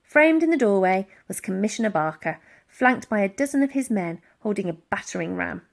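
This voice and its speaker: Lively woman reading a children's story. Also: mid-range voice, normal speed, gravelly. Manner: dramatic, with acted-out sound words. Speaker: audiobook reader. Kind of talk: expressive children's storybook narration.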